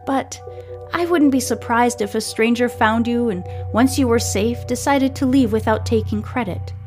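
Woman as kind storybook girl, soft, sympathetic, curious, music underneath. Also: on the high side, normal speed, natural voice. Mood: curious reassurance. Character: kind, curious young girl, gently reassuring.

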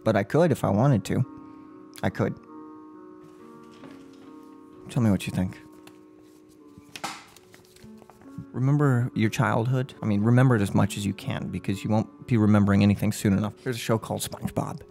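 A young man in his twenties playing a gloating, unhinged serial killer in an ASMR role-play, speaking close to the mic in a halting, mock-polite, menacing way. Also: fairly low pitch, vocal fry, a little mellow, faint music behind. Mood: taunting. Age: 20s. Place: small furnished room at home. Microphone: close microphone on a boom arm.

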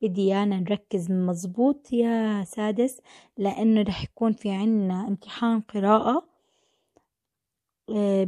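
A woman teaching an online English class with Arabic as her first language, moving back and forth between the two languages. her voice is mellow and mid-pitched, her English slow and deliberate with lots of repetition, and it is recorded dry on a computer mic. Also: no echo.

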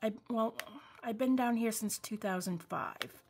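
Slow rambly craft lady, lots of ums, bit of vocal fry. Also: fairly high pitch, background noise. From New England. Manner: rambling, warm asides with many ums. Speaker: craft vlogger. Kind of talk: casual craft-along chat. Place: home craft table. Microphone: overhead camera, hands only.

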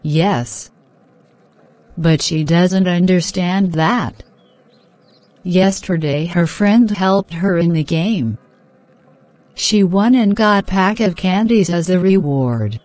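A woman playing a gentle young woman in a children's cartoon, with measured, plain-spoken scripted lines. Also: fairly low pitch, dry recording. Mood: troubled. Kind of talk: simple scripted dialogue for children.

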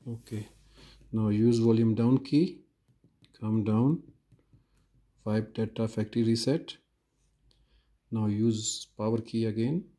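A man talking through a phone reset step by step in a slow, plain, instructive voice-over with frequent pauses. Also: on the low side, fairly even intonation, mellow. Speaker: phone repair tutorial host.

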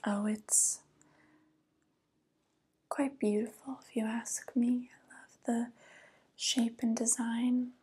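A young woman playing a softly coaxing evil witch in an ASMR roleplay, whispering slowly and gently, close to the microphone. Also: a little high, a little hiss or hum. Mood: admiring, coaxing. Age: young adult. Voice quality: breathy whisper. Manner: slow, gentle, flattering, falsely kind. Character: soft, coaxing evil witch luring a guest.

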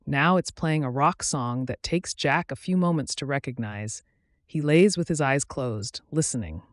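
A man's voice: medium pitch, measured pace, no echo, clean studio sound. A man reading a story aloud as scripted audiobook narration.

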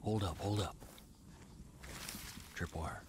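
A rough-edged soldier with a low voice and dry wisecracks. Outdoor noise is clear behind him in this game scene. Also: male voice, animated, slow. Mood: wry, gruff. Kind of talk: video game dialogue acting. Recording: noisy jungle ambience.

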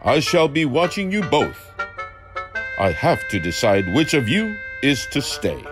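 A man's voice: medium pitch, big pitch swings, a little slow, music in the background, voice pushed up. A man reading aloud, putting on a formal, indignant older railway boss who scolds. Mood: stern.

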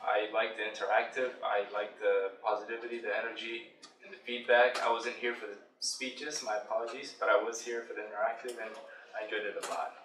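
A man introducing himself as a returning guest, speaking briefly and softly. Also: on the low side, flat delivery, fairly quick. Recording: distant, roomy, clear noise.

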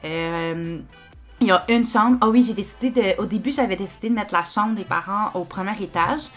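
A woman's voice: mid-range voice, normal speed, faint music behind. A young woman with a Québécois French accent, chatting casually over her game footage with lots of fillers and restarts. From Quebec. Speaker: YouTuber.

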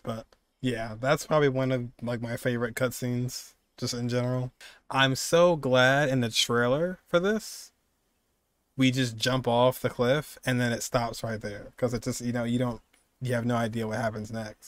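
A man's voice: mid-range voice, dry recording. A man in his twenties giving casual reaction commentary as a game streamer. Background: game music and sound.

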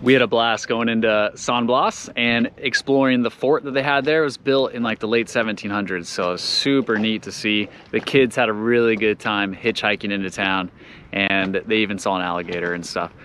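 An upbeat man giving casual, excited narration for a sailing vlog. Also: fairly low pitch, moderate pace. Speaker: vlogger narrating a family sailing trip.

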